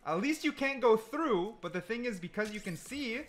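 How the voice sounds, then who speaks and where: Man, fairly high voice, informal gamer slang, casual commentary, thinking aloud. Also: lively intonation, normal speed, faint music behind. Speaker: gaming content creator.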